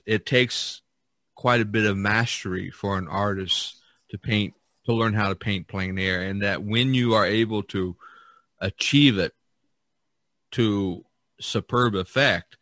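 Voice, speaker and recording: Man, low voice, podcast host, casual chat, halting and rambling, dry close sound. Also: bright, forceful tone, no room sound. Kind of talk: casual chat about painting.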